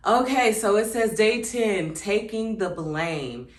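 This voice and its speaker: A woman's voice: mid-range voice, animated, slow. A woman in her thirties with four kids, talking in a casual, reflective way as she reads from a workbook and muses between passages. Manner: conversational, reflective, many "um" and "like" asides. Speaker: channel host. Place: indoors at home, seated. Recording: echoey room.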